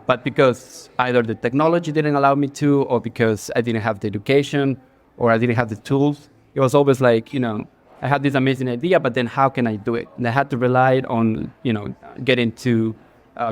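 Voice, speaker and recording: A man's voice: medium pitch, a little fast. A man in his forties from Ecuador with an Ecuadorian Spanish accent, speaking as a guest in a casual stage interview, earnest, with many fillers and restarts. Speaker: guest, creative director. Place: bar, live audience.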